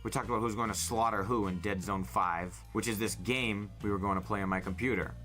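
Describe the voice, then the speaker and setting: Male storyteller for novel narration. Slightly low, forceful, lively delivery over soft music. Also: a little fast. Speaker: podcast host reading a novel. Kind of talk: animated audiobook-style first-person narration.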